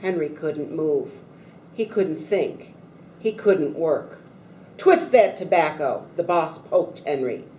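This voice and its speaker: A woman's voice: deep voice, animated, takes it slowly, warm tone. A woman on a school staff reading a storybook aloud to children. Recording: distant mic in echoey room, faint noise.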